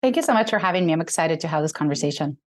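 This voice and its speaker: Emotional lower-voiced woman from Ukraine. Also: very fast. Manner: animated, emotional, laughs while telling. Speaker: podcast guest, entrepreneur. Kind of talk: interview storytelling.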